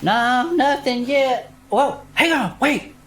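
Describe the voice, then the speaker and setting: Male actor as excitable small-town adventurer, folksy and slangy, lively range, for an audio drama. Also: very high-pitched, slow, noisy background, full character voice. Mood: excited, spooked.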